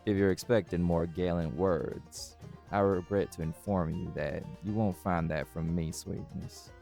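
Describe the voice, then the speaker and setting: A man's voice: low-pitched, steady tone, measured pace, creaky, over music. A man playing a charming, unbothered cowboy desperado in an intimate audio roleplay, folksy and suave with teasing endearments. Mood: calm, reassuring.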